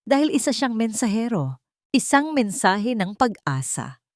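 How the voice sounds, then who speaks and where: A woman's voice: a little high, very animated, unhurried, a little mellow, dry recording, clean studio sound, voice pushed up. A woman voicing a resolute, hopeful young mother in a dramatic story, speaking in firm, solemn declarations. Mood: tender, hopeful. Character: resolute young mother, once victim, now hopeful leader.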